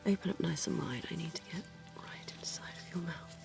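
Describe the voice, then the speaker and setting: A woman's voice: fairly low pitch, fairly quick, vocal fry, music in the background. A woman whispering as a posh vampire dentist. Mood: soothing. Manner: soft whispering, posh and coaxing. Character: centuries-old genteel female vampire dentist, sinister. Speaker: ASMR roleplay performer. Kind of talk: ASMR roleplay.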